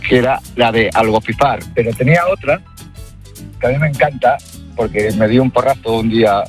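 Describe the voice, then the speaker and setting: A man's voice: mid-range voice, fairly quick. A man calling a radio show, telling anecdotes in a casual, conversational way. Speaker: radio phone-in caller. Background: faint music.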